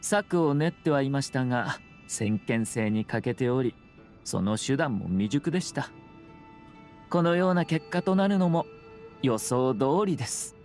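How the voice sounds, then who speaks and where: A man's voice: mid-range voice, lively intonation, a little mellow, lightly acted. Composed, courteous young noble, played by a man. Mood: calm, assured. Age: young adult. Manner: polite, measured, formal. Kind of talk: Japanese anime game voice acting. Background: music.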